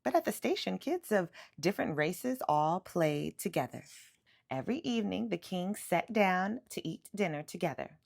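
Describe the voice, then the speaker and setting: Female storyteller for a children's picture book, lively and emphatic, with some vocal fry. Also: on the low side. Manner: animated, emphatic storytelling with drawn-out words. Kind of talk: expressive read-aloud of a children's picture book.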